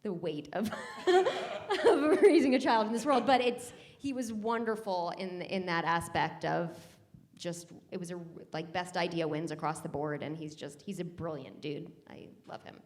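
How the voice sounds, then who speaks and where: Woman guest, lowish voice, gushing, casual. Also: lively intonation, measured pace, darkish tone, slight background noise. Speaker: actress and filmmaker. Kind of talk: casual panel chat. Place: festival cafe stage.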